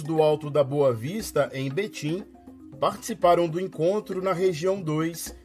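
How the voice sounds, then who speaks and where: A Brazilian man presenting a news programme in scripted narration. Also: a little high.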